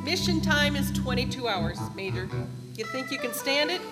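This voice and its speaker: A woman playing a brisk, reassuring colonel at ground control. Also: sits high, animated, long reverb tail, loud music behind the voice. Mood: calm.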